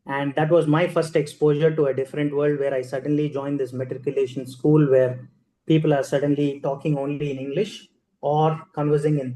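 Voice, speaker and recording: Indian-accented man, guest speaker telling a personal story, mellow tone, roomy hall sound. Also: fairly high pitch. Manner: storytelling, conversational, many fillers and restarts. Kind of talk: personal story speech at a ceremony.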